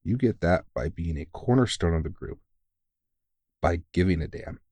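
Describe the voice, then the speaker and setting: Veteran vampire hunter played by a man for audio roleplay: very deep, close and dry, earnest, sweary, reassuring. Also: expressive, moderate pace, a little mellow, no room sound. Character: big, gruff but caring veteran male vampire hunter, mentoring. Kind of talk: intimate audio roleplay monologue.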